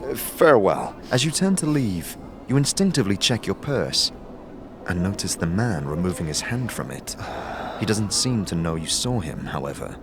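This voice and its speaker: A man's voice: low-pitched, very animated, moderate pace, noisy background. A man narrating a fantasy tale in the second person as dramatic audio storytelling.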